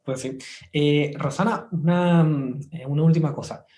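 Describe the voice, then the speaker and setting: A man's voice: fairly high pitch, steady tone, normal speed, dark tone. A man interviewing casually, politely and tentatively, circling his way toward each question. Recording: roomy, echoing sound.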